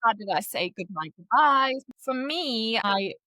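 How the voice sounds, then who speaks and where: A woman's voice: on the high side, lively intonation, measured pace, sharp tone, no room sound. A woman in her thirties with a South African accent, chatting casually as a podcast guest, rambling with lots of "like" fillers. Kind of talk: casual interview chat.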